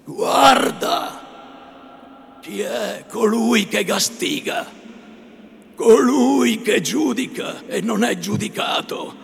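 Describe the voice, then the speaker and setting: Man playing a stern old friar, high booming shouts, gravelly, slow, echoey hall. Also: sing-song, soft background music, heavily acted. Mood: thundering rebuke. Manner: solemn, rising to furious shouts. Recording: echoey hall, faint ambience.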